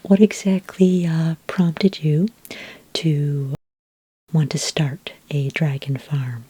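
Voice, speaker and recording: Soft, breathy low-voiced woman in ASMR roleplay. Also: steady tone, slow, dry recording. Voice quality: soft, breathy, mostly whispery. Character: patient, practical dragon ranching expert advising a beginner. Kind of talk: soft-spoken ASMR roleplay, conversational advice to the listener. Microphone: close microphone, dry sound.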